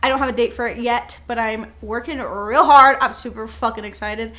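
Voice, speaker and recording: A woman's voice: fairly high pitch, steady tone, normal speed, a bit bright. A young woman co-hosting a podcast in casual, giggly, informal conversation with plenty of swearing. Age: young adult. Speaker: podcast co-host. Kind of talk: casual unscripted chat between friends.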